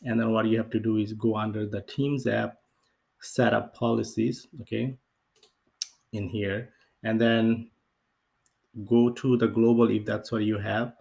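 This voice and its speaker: A man with a fairly low, noticeably creaky voice talks through an on-screen software tutorial. His delivery is unscripted and hesitant, circling back over phrases as he explains. The intonation stays quite flat throughout. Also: unhurried, long pauses. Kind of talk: casual screen-share walkthrough, unscripted. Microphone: off-camera voice-over for screen recording.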